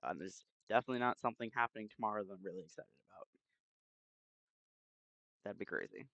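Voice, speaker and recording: A man chatting casually and haltingly as he hosts a livestream, speaking into a headset mic. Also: fairly low pitch, fairly bright tone, dry recording. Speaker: game streamer, host. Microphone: new headset microphone.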